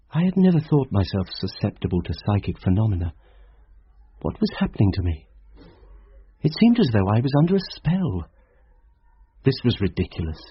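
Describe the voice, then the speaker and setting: An actor playing a troubled, introspective schoolteacher who narrates a radio drama in a brooding, uneasy way. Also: male voice, fairly low pitch, slightly rough, exaggerated voice. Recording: muffled, lo-fi old radio recording.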